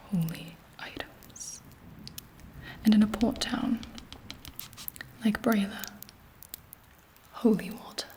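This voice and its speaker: Lady doing a ruthless vampire queen, whispery asmr roleplay, super slow n imperious. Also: medium pitch, a little mellow, lightly acted. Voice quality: breathy whisper. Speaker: ASMR performer.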